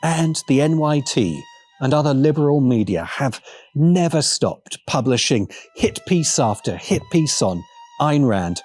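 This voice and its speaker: A man narrating a scripted video essay as its host, with sardonic, emphatic and deliberate phrasing. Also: medium pitch, big pitch swings, darkish tone. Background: faint background music.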